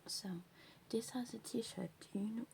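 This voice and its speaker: Slow soft-whispering woman, non-native English. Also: on the high side, fairly even intonation, vocal fry, noisy background. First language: not English. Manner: soft whispering, rambling with many fillers. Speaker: ASMR creator. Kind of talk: ASMR whispering show-and-tell.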